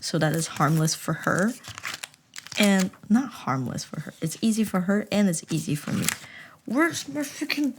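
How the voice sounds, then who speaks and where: A woman's voice: mid-range voice, expressive, normal speed, background noise. A young woman vlogging in a casual, chatty way, telling a story with self-mocking humor and many restarts.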